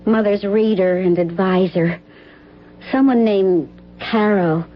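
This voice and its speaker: An actress playing an anxious, well-mannered grown daughter in an old-time radio drama. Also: female voice, medium pitch, takes it slowly, natural voice. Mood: worried. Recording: muffled, noisy old radio recording.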